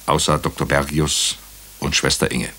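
Story narrator: very low voice, sober, observant, measured radio drama delivery. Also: male voice, little pitch movement, sharp tone, breathy edge, exaggerated voice. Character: sober male patient narrator recounting a murder inquiry.

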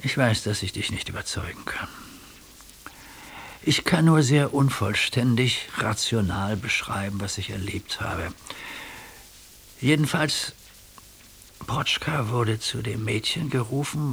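A man's voice: lowish voice, measured pace, gravelly, fairly bright tone. An actor in a radio drama playing an earnest, ailing older man who reflects on mystical experiences with educated diction. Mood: insistent. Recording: faint tape hiss.

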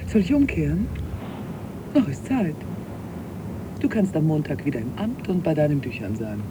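An actress playing an unhappy, devoted and bitter wife in a 1980s German radio drama, speaking in a deliberate, reflective, literary way. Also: female voice, low-pitched, very animated. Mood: questioning. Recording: noisy cassette copy of radio play.

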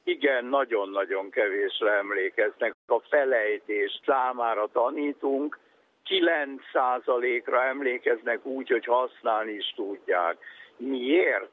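A man giving an explanatory talk on education in a didactic way, listing points with emphasis. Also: mid-range voice. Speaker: education and psychology speaker. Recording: tinny phone-like radio sound, faint noise.

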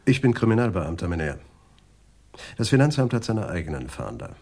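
An actor playing a weary, terse, introspective police adjutant in a radio drama, prone to muttering. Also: male voice, sits low, big pitch swings, brisk, creaky, warm tone, breathy edge, full character voice. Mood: businesslike, insistent.

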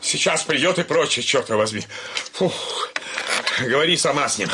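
A man's voice: mid-range voice, lively intonation, normal speed, background noise, close to an everyday voice. An actor playing an exasperated, blustering old landowner father who splutters and grumbles in a theatrical radio comedy. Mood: exasperated, despairing. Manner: spluttering, repeats pet filler phrases, grumbling.

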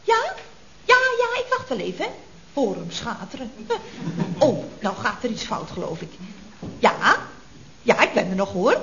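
Een actrice die een babbelende, plagerige secretaresse speelt in een radiokomedie uit de jaren zeventig, met theatraal opgewekt getater. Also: female voice, sits high. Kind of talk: 1970s radio comedy acting. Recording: dull vintage radio recording, audience laughter.

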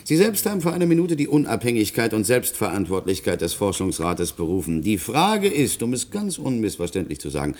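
A state prosecutor in a radio drama, his lowish voice swinging widely in pitch. He is articulate and insistent, pressing each point formally and emphatically in pronunciation. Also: sing-song, fairly quick, hardly any pauses, darkish tone. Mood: pointed, controlled. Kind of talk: German radio play acting.

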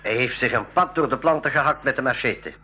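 An actor playing a practical, helpful expedition companion who explains patiently, in a school radio play. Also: male voice, medium pitch, a little fast, bright, forceful tone, natural voice. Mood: matter-of-fact. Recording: radio play with audible ambient noise.

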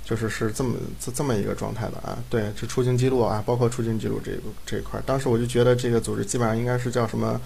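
Director guy explaining stuff fast n rambly, gravelly voice, slight noise. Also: mid-range voice, flat delivery, darkish tone. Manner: rambling, repeats his phrases. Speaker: audio drama director. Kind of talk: casual explanation in a group chat.

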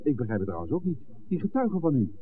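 A man's voice: medium pitch, sing-song, fast talker, natural voice. An actor playing a jovial, curious, blunt man in a 1960s radio drama, speaking in exclamations and questions. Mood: amused, puzzled. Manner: exclamatory, inquisitive. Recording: distant, noisy, narrow-band old radio recording.

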